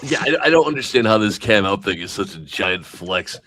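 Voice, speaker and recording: A man's voice: on the low side, expressive, brisk, bright, forceful tone, a little hiss or hum. A man on a livestream in casual chat, with sardonic, crude and profane remarks. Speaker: stream guest.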